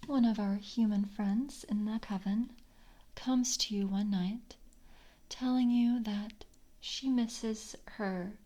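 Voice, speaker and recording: A woman playing a polite, composed vampire coven member in an ASMR roleplay, speaking softly and breathily, often in a whisper, with a gentle, measured interviewer's manner. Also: mid-range voice. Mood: calm, probing. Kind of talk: soft-spoken ASMR roleplay.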